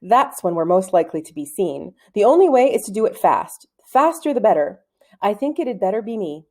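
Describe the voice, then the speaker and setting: Confident schoolboy schemer read by a woman, casual, persuasive, mellow storybook delivery. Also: mid-range voice, very animated, fairly quick. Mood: matter-of-fact. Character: confident schoolboy planning an adventure.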